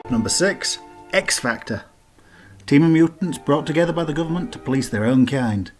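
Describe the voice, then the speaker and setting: A man's voice: on the high side, animated, moderate pace. A man giving informal scripted narration as the host of a countdown list video. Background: background music.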